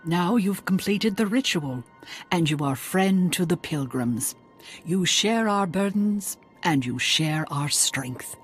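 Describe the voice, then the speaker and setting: Slow, serene pilgrim matriarch. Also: female voice, fairly low pitch, lively intonation, soft background music. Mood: solemn blessing. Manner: ceremonial, measured. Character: serene, solemn pilgrim matriarch giving blessings. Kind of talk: video game voice acting.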